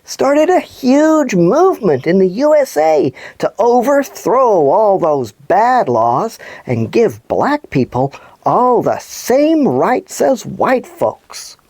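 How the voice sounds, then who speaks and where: A woman reading scripted stories and segments for children as a show presenter, with expressive, deliberate delivery. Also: medium pitch, no echo.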